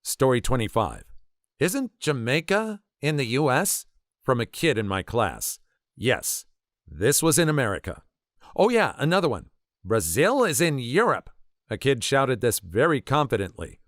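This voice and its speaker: Guy reading online stories, really lively up and down pitch, dry studio sound. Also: measured pace, dry recording. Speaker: narrator of online story compilations. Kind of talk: scripted narration of read-out stories.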